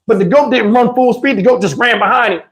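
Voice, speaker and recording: A man telling personal stories in a casual, animated, slangy way, swearing freely and acting out what people said. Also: extremely high pitch, quick pace. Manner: acts out dialogue and sound effects. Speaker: storyteller host. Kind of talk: animated casual personal storytelling with acted quotes.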